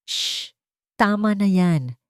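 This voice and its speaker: A woman's voice: mid-range voice, animated, slow pace, smooth, no room sound, clean studio sound. A woman voicing a firm, loving, emotional woman in a dramatic audiobook. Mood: firm, tearful. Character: firm, loving woman holding back tears. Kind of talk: dramatic audiobook character reading.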